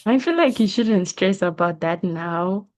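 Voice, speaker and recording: A woman's voice: mid-range voice, lively intonation, unhurried, darkish tone. A young woman from South Africa, a science student, patiently reassuring a school pupil in a casual advice session, with frequent ums. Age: young adult. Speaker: science student answering questions. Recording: dull lo-fi audio.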